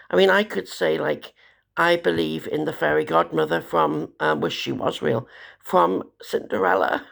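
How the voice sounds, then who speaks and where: A woman, hoarse from a cold, talking in a despairing, rambling way about her troubles. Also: fairly low pitch. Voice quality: gravelly. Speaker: vlogger. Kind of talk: rambling personal monologue.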